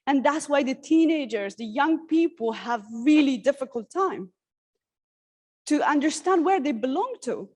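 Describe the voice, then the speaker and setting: A woman from Syria giving an earnest, halting personal talk at a workshop, with many restarts and fillers. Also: high-pitched, animated, a little mellow. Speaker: conference speaker. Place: conference hall.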